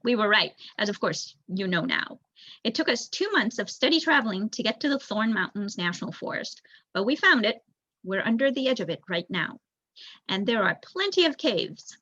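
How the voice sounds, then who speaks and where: Muffled lo-fi sound, young woman, storybook narration, bright voice, slightly raspy, expressive reader. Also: mid-range voice, dry recording. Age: young adult. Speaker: volunteer audiobook reader. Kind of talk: storybook narration read aloud.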